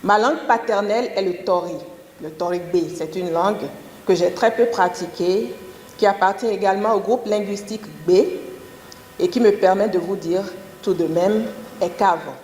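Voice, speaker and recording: A woman's voice: mid-range voice, normal speed, a little mellow, slight background noise. A woman from Benin, a native Gun speaker, giving a formal, eloquent and wry speech at a forum. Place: conference hall. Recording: echoey hall.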